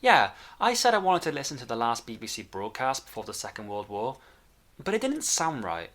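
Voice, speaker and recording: Lively, offhand young male sidekick, acted by a man. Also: mid-range voice, fairly quick. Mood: casual, sheepish. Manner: offhand, apologetic, casual. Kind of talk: fan-made audio drama acting.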